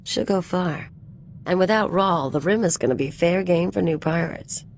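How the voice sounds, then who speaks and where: A woman's voice: fairly low pitch, moderate pace, slight background noise, lightly acted. A woman playing a brash, sardonic gangster sidekick in a video game, talking in cocky, casual slang. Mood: wry, cocky. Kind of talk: video game companion dialogue acting.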